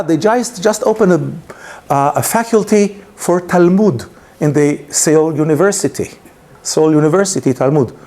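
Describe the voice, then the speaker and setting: A man giving an informal lecture, telling a story with many hesitations and restarts, English not his first language. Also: high-pitched. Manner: animated storytelling with laughs. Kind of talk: informal lunchtime talk.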